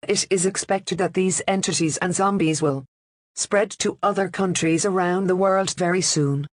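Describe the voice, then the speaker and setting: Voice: mid-range voice, no echo, studio quality. A synthetic voice formally and impersonally reading a scripted emergency warning as a broadcast announcer. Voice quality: synthetic text-to-speech voice. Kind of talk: scripted emergency alert narration.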